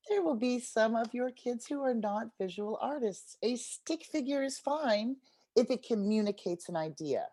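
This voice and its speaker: Expressive woman teacher with lively swooping intonation. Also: medium pitch. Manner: encouraging, expressive, addresses listeners like young children. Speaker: literacy workshop teacher, storyteller. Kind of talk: interactive read-aloud with instructions to a group. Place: video call.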